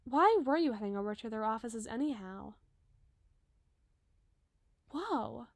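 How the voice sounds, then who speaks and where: A sweet, dramatic young giantess , played by a woman, speaks in a high, girlish voice. Her delivery is chatty and openly emotive, in the manner of an audio roleplay. The sound is very dry, with no room around it. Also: lively intonation, creaky, dry recording. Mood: calm, musing. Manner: emotive, chatty, halting when flustered.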